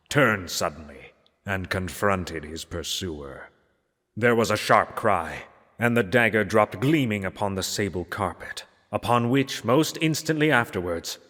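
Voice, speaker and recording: A man reading a gothic story aloud as narrator, in a solemn, measured and theatrical manner. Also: low-pitched, a bit bright. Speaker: narrator, reader. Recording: long hall-like reverb.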